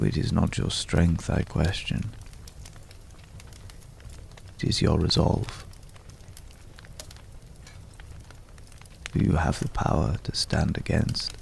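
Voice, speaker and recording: Casting: man to play courteous, enigmatic male wanderer for ASMR fantasy roleplay. Very slow, hushed and measured, thick vocal fry, ominous undertone. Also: a little hiss or hum, heavily acted. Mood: solemn, measured. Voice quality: deep, gravelly. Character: mysterious, courteous male stranger visiting a hunter's night camp.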